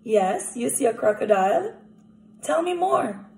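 A young woman teacher leading an interactive picture-book read-aloud, animated and asking children questions directly. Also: fairly high pitch, measured pace, vocal fry, a little hiss or hum. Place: small indoor room at home. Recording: echoey room recording.

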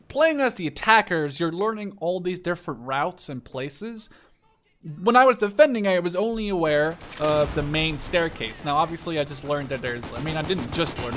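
A man in his twenties giving casual, sweary commentary over first-person shooter gameplay in an excitable manner. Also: a little high, normal speed. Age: 20s. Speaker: gaming video creator. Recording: loud gunfire and explosions behind. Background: war game sound effects, gunfire, machine guns.

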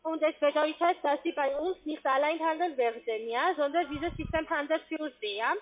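A woman with a non-native foreign accent, giving a formal scripted sales pitch with frequent short tag questions. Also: normal speed. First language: not German. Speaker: call centre sales agent. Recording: narrow-band phone line.

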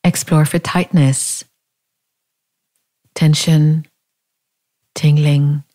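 A woman leading a guided body scan meditation in a calm, gentle, unhurried manner, leaving long pauses. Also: low voice.

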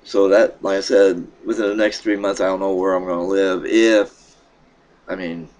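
A middle-aged man with a froggy throat, casually talking to his webcam at home in a rambling, halting way. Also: low voice, flat delivery, a little mellow. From Pennsylvania. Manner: rambling, halting, with many fillers and restarts. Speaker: vlogger. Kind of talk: casual vlog update. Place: small room in a home, indoors. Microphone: webcam at desk.

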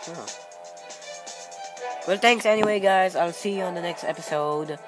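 A gamer, a Tagalog speaker, giving casual, excitable gameplay commentary, exclaiming and muttering playfully. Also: mid-range voice, moderate pace. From Philippines. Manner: exclaims and mutters playfully to himself while playing. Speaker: gaming video host. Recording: loud game music over voice. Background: loud video game music.

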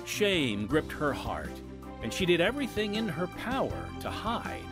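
A man's voice: high-pitched, sing-song, measured pace, music in the background. A man hosting and narrating a scripted audio drama podcast with a dramatic storyteller's delivery. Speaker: host and narrator.

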